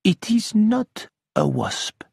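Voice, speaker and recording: A man voicing a small, shrewd, courteous detective in an audiobook, speaking in a measured, formally polite way. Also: sits high, sing-song, creaky, a little mellow, breathy edge, no room sound, clean studio sound, voice pushed up, heavily acted. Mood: deliberate, insistent.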